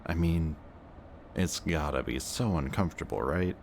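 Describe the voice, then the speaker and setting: A man's voice: extremely low pitch, moderate pace, creaky, a little hiss or hum. A young man playing a cocky, sarcastic antihero villain with a secretly kind streak, talking in casual, teasing banter in a roleplay audio drama. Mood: amused, teasing. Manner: casual, sardonic, teasing banter with muttered asides.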